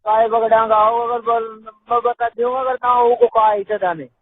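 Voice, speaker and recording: A man's voice: very high voice, level tone, normal speed. A man with a Rajasthani accent chatting casually on the phone, often in short questioning replies. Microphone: phone call. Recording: muffled phone line with faint noise.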